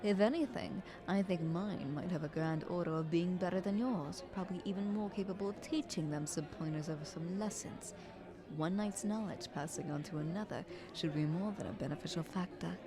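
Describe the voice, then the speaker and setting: Woman acting haughty scheming queen, lowish voice, murmuring crowd. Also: brisk, lightly acted. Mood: haughty, persuasive. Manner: haughty, ornate formal courtly speech. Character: visiting queen, scheming, flattering, condescending royal negotiator. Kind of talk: audio roleplay acting.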